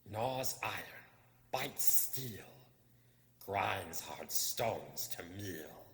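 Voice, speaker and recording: A man's voice: low-pitched, animated, a bit bright, exaggerated voice. A man voicing a nasty, wretched, slimy cave creature who wheedles and gets easily upset, hissing and drawing out his words in a storybook reading. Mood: menacing, slow. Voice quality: hissing, creaky. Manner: drawn-out, repetitive, theatrical. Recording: echoey hall-like reverb.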